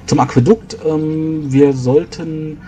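A man's voice: fairly high pitch, fairly even intonation, slow pace, dark tone, soft background music. A man improvising in-character planning as a player in a tabletop role-playing game, explaining things in a rambling way.

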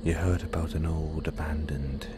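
A man playing a playful, menacing, possessive werewolf in an audio roleplay, teasing the listener in a breathy, half-whispered, predatory way. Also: extremely low pitch, flat delivery, moderate pace, loud music behind the voice. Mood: teasing, sinister.

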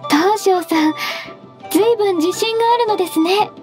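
A gentle secretary from an anime-style game. Her voice is very high and soft. She is measured, formal and politely firm, over clearly audible background music. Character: gentle, composed half-beast female secretary, politely firm.

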